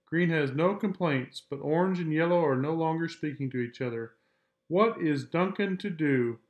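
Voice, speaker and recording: A man reading a children's picture book aloud in a measured, deliberate storytelling style. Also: fairly high pitch.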